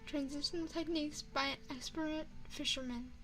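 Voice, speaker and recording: A woman plays an elegant vampire restaurateur in an intimate ASMR roleplay. Her voice is high, with formal, measured phrasing and courteous, seductive hospitality. Faint music sits behind her. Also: fairly even intonation, fairly bright tone, rough recording. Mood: savoring, refined. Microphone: binaural microphone.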